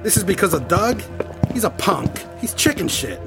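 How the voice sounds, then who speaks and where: A man playing an agitated rock bandmate in an audio drama. His slightly high voice swings widely in pitch, urgent and slangy, like someone trying to keep a band from splitting. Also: big pitch swings, normal speed, gravelly, loud music behind the voice, full character voice. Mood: incredulous, urgent. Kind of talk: audio horror drama acting.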